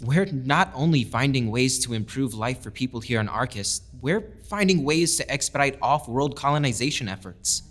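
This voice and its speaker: A man's voice: mid-range voice, expressive, moderate pace, few pauses, a bit bright, close to an everyday voice. An actor playing a passionate young lieutenant who speaks up for his people with earnest conviction. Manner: earnest, persuasive speech-making. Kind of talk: audio drama acting.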